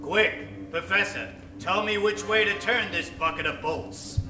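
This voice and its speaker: An actor playing a gruff, bossy space captain with hard-boiled slang in an old-time radio serial. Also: male voice, high-pitched, measured pace, reverberant, distant mic, over music. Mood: gruff, stern. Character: gruff, bossy male space captain, grumpy hero pilot.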